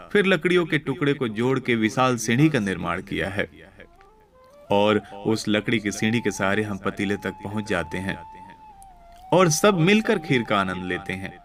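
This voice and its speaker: A man's voice: lowish voice, a little slow, a bit bright. A man voicing a happy, resourceful soul in heaven, explaining matter-of-factly in a moral tale. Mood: contented, explaining. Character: happy, resourceful, contented souls living in heaven. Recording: background music under voice.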